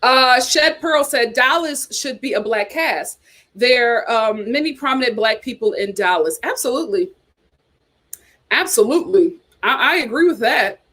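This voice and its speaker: A young woman hosting a casual reality TV chat, sassy and animated, talking straight to her viewers. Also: a little high, normal speed. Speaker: host.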